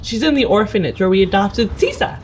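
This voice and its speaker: Female tabletop player, mid-pitched, casual in-character delivery, slight noise behind. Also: expressive, brisk, a little mellow. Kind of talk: casual in-character roleplay chat.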